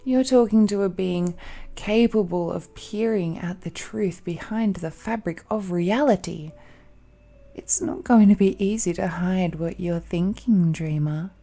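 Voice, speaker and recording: A woman's voice: on the low side, a little slow, mellow, soft background music. A woman playing a possessive, seductive ancient supernatural villainess in a romantic audio roleplay, speaking in a coaxing, condescending way with hums and sighs. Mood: knowing, persuasive.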